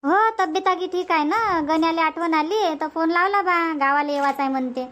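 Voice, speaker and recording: High-voiced Varhadi village woman played by an actor. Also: moderate pace, a bit bright. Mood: explaining, calm. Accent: Varhadi Marathi. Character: chatty village woman in a Marathi family cartoon comedy. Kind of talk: cartoon comedy dialogue in rural dialect.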